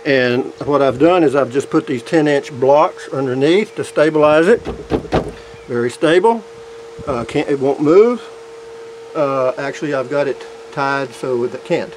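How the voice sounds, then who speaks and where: A man's voice: medium pitch, measured pace, noisy background. Folksy man rambling on a phone. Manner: folksy, rambling, keeps asking "okay?". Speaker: vlogger showing his camper build. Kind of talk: casual walkthrough. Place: in and around a pickup camper shell outdoors.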